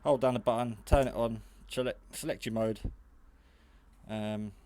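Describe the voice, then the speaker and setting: A man's voice: mid-range voice, steady tone, unhurried, mellow. A man giving a casual, hands-on product demonstration in a hesitant, rambling, matter-of-fact way. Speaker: hobbyist radio reviewer. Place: garage.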